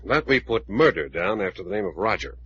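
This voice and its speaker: Sharp, low-voiced American radio detective. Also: male voice, sing-song, brisk, husky, full character voice. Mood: deliberate, analytical. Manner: methodical, reasoning aloud, giving orders. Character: level-headed male detective, shrewd leader of a trio. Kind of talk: old-time radio drama acting. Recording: dull, lo-fi old radio recording, faint noise.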